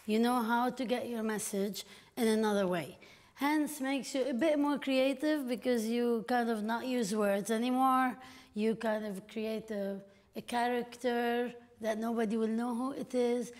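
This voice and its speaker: A woman from Lebanon in her forties speaks at a panel event, her voice sitting a touch high. She tells stories in a lively way, acting out quoted lines. The sound comes from a stand mic in an echoey gallery room. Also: unhurried. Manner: lively storytelling with frequent laughs and quoted asides. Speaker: panel guest, filmmaker. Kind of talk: live panel conversation. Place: gallery room with seated audience. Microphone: stand mic at a distance.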